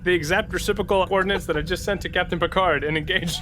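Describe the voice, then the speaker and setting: A man's voice: high-pitched, steady tone, fast talker, a bit bright, a little hiss or hum. A man playing a tabletop role-playing game, switching between casual banter and dramatic in-character lines with a joking manner.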